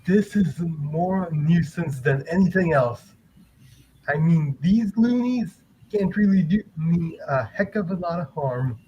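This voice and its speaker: Man playing a slow-talking, smug superhero. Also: fairly high pitch, animated, dark tone. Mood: smug, lazy. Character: smug, lazy, stretchy male superhero. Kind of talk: comic book dramatic reading. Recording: dull audio with faint noise.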